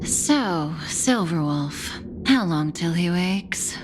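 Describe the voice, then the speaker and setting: An actress playing a composed, mysterious woman who speaks softly and deliberately, delivering solemn prophecy in a video game. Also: lowish voice, animated, noisy background. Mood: calm, solemn. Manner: slow, deliberate, soft, solemn. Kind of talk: scripted video game voice acting. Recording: music playing behind the voice. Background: game music.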